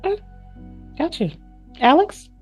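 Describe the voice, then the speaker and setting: An animated woman hosts a casual talk show. Her pitch moves around freely as she puts questions to a panel of guests. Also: unhurried, dull audio, soft background music.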